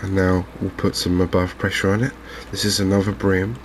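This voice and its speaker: A man giving calm, understated, softly spoken ASMR commentary on a video game, in an unhurried way. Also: sits low, slight background noise. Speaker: gaming video host.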